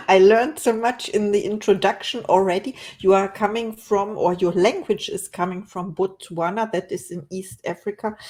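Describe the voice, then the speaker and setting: A woman's voice: mid-range voice, darkish tone. Enthusiastic woman host. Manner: enthusiastic, searches for words. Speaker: podcast host. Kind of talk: interview hosting.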